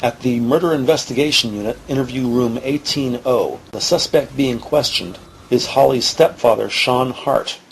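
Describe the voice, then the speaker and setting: Gravelly male drama narrator. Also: mid-range voice, normal speed, slight background noise, rough recording. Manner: formal, descriptive. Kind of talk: scripted audio drama scene narration.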